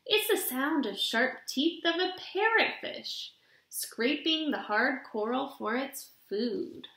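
A young adult woman reading a children's picture book aloud in an expressive, deliberate storybook delivery, recorded in a room at home. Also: high-pitched. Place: small indoor room at home. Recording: roomy, echoing home webcam recording.

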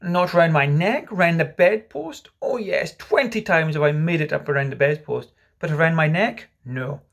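A man's voice: sits high, very animated, a little fast, husky, voice pushed up, heavily acted. A man voicing a pompous, self-pitying middle-aged father in a storybook, melodramatic, stern and sarcastic. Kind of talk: storybook character voice.

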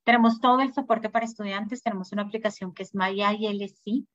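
Woman, upbeat presenter, clear and informative, dry slightly dull audio. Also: fairly even intonation, fairly quick, a bit bright, no room sound. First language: Spanish. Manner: informative, upbeat sales pitch. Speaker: school sales manager presenting. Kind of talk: webinar presentation.

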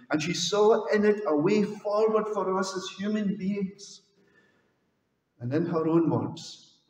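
An older man, a minister from Scotland, preaching a slow, solemn sermon with measured pauses into a headset mic in a large stone church. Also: fairly high pitch, darkish tone, far from the mic. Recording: echoey church hall from afar.

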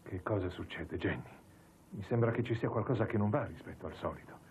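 An actor playing a suave, smooth-talking young man in a cartoon dub. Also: fairly low pitch, fairly quick, a little mellow, natural voice. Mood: pensive. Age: young adult. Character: suave young man soothing his girlfriend. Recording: dull, faintly noisy dubbed audio.

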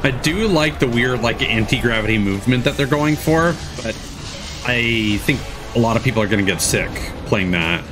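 A man's voice: mid-range voice, lively intonation, normal speed, music in the background. A man hosting a casual reaction stream, chatting and commenting with sarcasm and plenty of swearing. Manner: sarcastic, foul-mouthed. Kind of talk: casual chat, live commentary. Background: game trailer audio.

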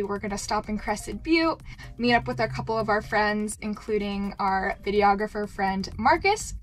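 A woman's voice: a little high, fairly bright tone. A young woman giving an upbeat, giggly tour inside a camper van, talking to a handheld camera. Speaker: vlogger. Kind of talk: casual van tour vlog. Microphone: handheld camera, close then walking around.

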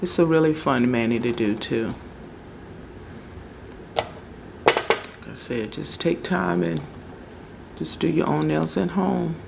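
A woman casually talking through a home nail tutorial, relaxed and chatty, often searching for words. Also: deep voice, takes it slowly, gravelly, long pauses, noisy background. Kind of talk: casual how-to narration. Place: home table, indoors.

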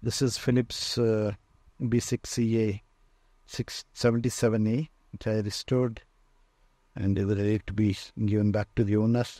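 A man with an Indian accent giving an unscripted museum tour in halting, short phrases, pausing often. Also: on the low side, little pitch movement, warm tone, no echo. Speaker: museum owner and radio restorer giving a tour. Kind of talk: unscripted walk-through tour commentary. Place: indoor room lined with shelves of old radios.

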